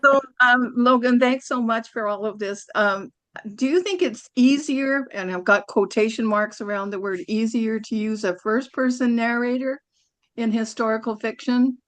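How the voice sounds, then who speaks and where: Higher-pitched woman asking a question, dry sound. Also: moderate pace, fairly bright tone, no room sound. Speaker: audience member asking a question. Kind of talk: casual spoken question. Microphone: video call microphone.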